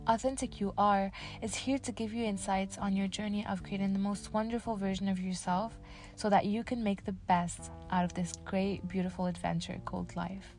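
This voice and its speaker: A woman's voice: mid-range voice, steady tone, moderate pace, darkish tone, soft background music. Earnest young woman podcaster. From Casablanca, Morocco. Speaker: podcast host. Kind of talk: scripted motivational podcast narration.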